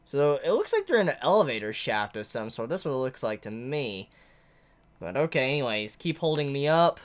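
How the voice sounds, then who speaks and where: A low-pitched woman reacting live to a manga chapter, her voice swinging widely as she gets excited. She sounds congested because she is getting over a head cold. She talks in a chatty way and exclaims often. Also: a little fast.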